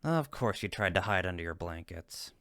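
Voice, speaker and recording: A man's voice: low voice, lively intonation, moderate pace, pushed and bright, no echo, studio quality, pitched below the performer's own voice, exaggerated voice. A man playing a friendly cupid roommate in a comfort roleplay, teasing and supportive, with an emphatic, reassuring manner. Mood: excited teasing. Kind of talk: roleplay audio comfort acting, one-sided conversation.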